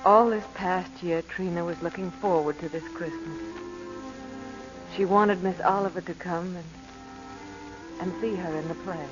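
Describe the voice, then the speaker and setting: Dull old radio recording, gentle anxious wife played by an actress. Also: female voice, fairly low pitch, fairly even intonation, a little slow, long pauses, music in the background, lightly acted. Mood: subdued, sad. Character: gentle, anxious wife and mother, worn by grief. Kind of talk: 1940s radio drama acting.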